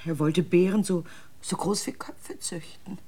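An actress in a radio drama playing an anxious mother searching for her grown son, halting and breathy. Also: lowish voice, mellow. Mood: hushed, hesitant. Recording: clear outdoor ambient noise.

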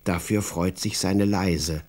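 A man narrating a children's radio play in an expressive, scripted storytelling style with a husky voice. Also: sits low, takes it slowly.

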